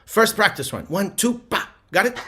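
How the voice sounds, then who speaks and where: A man's voice: high-pitched, sing-song, moderate pace, pushed and bright. A man with a Lithuanian accent leading a live event in a playful, theatrical way, sometimes dropping to a whisper. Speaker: performer closing a segment. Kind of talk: playful live stage performance. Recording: guitar music behind the voice.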